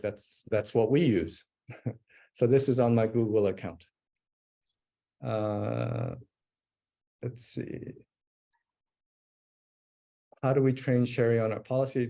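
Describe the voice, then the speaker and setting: Man presenting a software demo: slow, gravelly, mellow tone, thinks aloud. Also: mid-range voice. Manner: thinking aloud while typing, many fillers and restarts. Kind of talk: live webinar demo.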